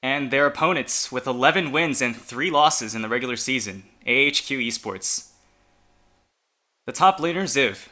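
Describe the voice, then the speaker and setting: Man, native Chinese speaker, esports caster, casual chatty announcing, piercingly bright tone. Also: medium pitch, moderate pace. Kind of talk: fan esports commentary.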